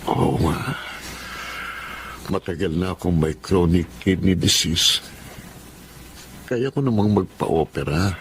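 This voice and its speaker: Very low male voice, ailing wealthy Filipino man, weary, earnest, radio drama, faint noise. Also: slow pace, pauses often. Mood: sad. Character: sad, ailing wealthy businessman, a family man.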